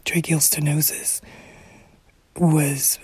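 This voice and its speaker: A middle-aged woman with a damaged, whispery voice, speaking reflectively in short, halting phrases in an interview. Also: deep voice, level tone, a little mellow, breathy edge, no echo. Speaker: interviewee, former teacher.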